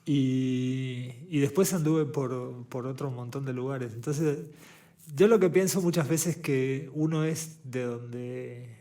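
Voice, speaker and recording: A man from Uruguay with a Rioplatense Buenos Aires accent, a visual artist talking as a guest in an interview, thoughtful and halting, often restarting his phrases. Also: mid-range voice, warm tone. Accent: Rioplatense Spanish, Buenos Aires. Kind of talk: relaxed interview conversation.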